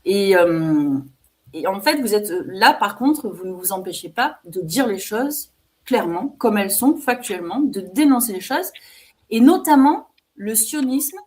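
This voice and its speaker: A woman runs an interview on her own channel. She builds her questions slowly, with frequent pauses and fillers, in a plain, mid-pitched voice. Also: sing-song, a little mellow. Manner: hesitant, many fillers while framing questions.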